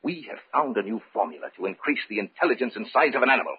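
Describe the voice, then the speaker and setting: A man's voice: medium pitch, very animated, very fast, slight background noise, lightly acted. A man playing a confident, ambitious research doctor in an old-time radio horror drama, insistent and declamatory. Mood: insistent, dismissive. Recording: dull vintage radio recording.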